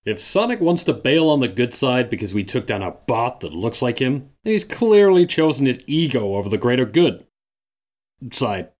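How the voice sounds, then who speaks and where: A man's voice: medium pitch, very animated, normal speed, lightly acted. A man voicing a pompous, theatrical male echidna who sees himself as the leader, speaking melodramatically. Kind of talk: fan audio drama acting.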